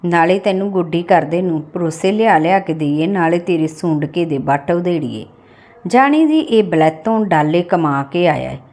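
A woman's voice: on the low side, moderate pace, a little mellow, lightly acted. A woman narrator voicing a spiteful, sarcastic village wife in a story, taunting and scolding. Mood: angry sarcasm. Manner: sarcastic, nagging.

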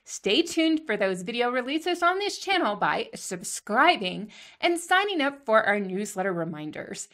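A middle-aged American woman hosting an interview, chatting and telling stories in an animated way with asides. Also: on the high side, a little slow. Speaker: host interviewing a guest. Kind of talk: conversational interview and personal storytelling. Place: home office, over a video call.

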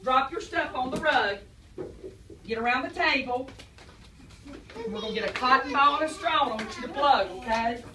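A woman's voice: sits high, lively intonation, moderate pace, pauses often, background noise. A woman teacher, quizzing and praising a class of young children after reading aloud. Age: adult. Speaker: preschool teacher. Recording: echoey classroom from far off.

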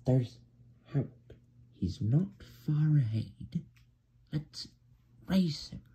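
A man voicing a hearty, excitable male train driver in a children's storybook, urging and cheering in exclamations. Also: on the high side, extremely slow, pitched above the performer's own voice. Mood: eager.

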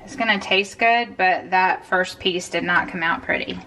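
A woman's voice: fairly low pitch, normal speed, pushed and bright, a little hiss or hum, poor audio. A woman in her thirties chatting through a cook-along in her home kitchen, often calling the viewers y'all. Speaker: cooking vlogger. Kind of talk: casual cook-along narration.

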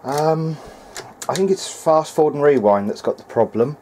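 A man's voice: on the high side, lively intonation, moderate pace, a little mellow, a little hiss or hum. A man thinking aloud in a hesitant way as he gives casual hands-on repair commentary at an indoor workbench. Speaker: hobbyist repairer presenting a video diary.

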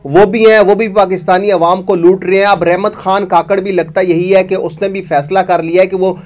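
Man, 40s, high-pitched, news host, emphatic and accusatory, studio clip-on mic. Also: flat delivery, normal speed, a little mellow. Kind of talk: investigative commentary to camera. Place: studio with green screen.

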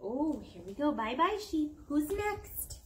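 A young woman doing an animated picture-book read-aloud for her toddler, playful, sing-song and encouraging, chatting to the child as she goes. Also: high-pitched, slow pace. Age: young adult. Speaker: mother reading storytime to her toddler. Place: outdoor backyard patio. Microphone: camera a few feet away.

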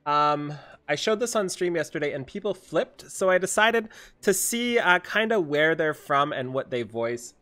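Higher-pitched excitable young man, casual gaming commentary. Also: normal speed. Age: young adult. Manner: excitable, repetitive, hyperbolic. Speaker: gaming video host and streamer. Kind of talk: casual game update commentary. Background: music.

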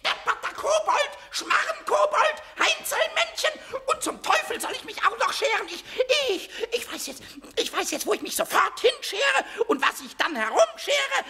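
An actor playing a tiny, mischievous, hot-tempered kobold in a radio play, squawky and excitable. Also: male voice, normal speed, few pauses, shouting, full character voice. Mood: gleefully indignant. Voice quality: shrill, squawky. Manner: excitable, repeats words, giggling. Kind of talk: radio play character acting.

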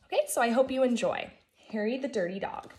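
An American woman in her thirties, a first grade teacher reading a children's storybook aloud in an expressive, emphatic way. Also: on the high side, fairly quick. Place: living room at home, indoors. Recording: echoey room.

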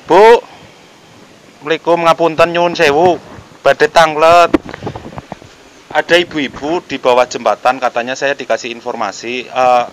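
A man's voice: on the high side, flat delivery, moderate pace, pauses often, a bit bright, a little hiss or hum, low-quality recording. Polite Javanese-accented man vlogging outdoors. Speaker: vlogger helping homeless people. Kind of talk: casual on-location vlog narration and chat. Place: outdoors, yard and riverside by a road bridge.

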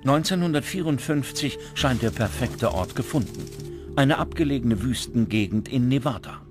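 A man reading scripted documentary narration with dramatic, measured phrasing. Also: mid-range voice, lively intonation. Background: background music.

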